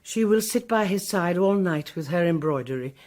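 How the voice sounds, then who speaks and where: A woman's voice: on the low side, lively intonation, moderate pace, a little mellow, natural voice. An actress playing a polite, guarded woman of a French household in a radio drama, formal and slightly hesitant. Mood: polite, strained.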